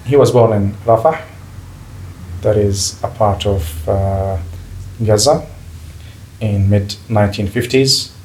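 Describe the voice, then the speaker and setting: Low-voiced man, measured and careful. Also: poor audio. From Palestinian refugee, grew up in Gulf region. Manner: measured, pauses and restarts while choosing words. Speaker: guest. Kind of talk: interview, personal account. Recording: faint background noise.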